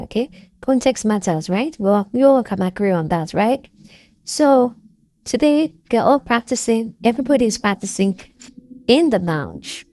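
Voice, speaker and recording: A woman's voice: mid-range voice, warm tone. A woman giving casual, opinionated commentary on a reality show, often seeking agreement from her viewers and laughing between points.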